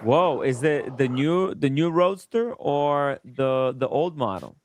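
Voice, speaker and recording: A man's voice: mid-range voice, animated, slow pace. A man hosting a casual livestream chat, thanking viewers and guests with enthusiasm. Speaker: host.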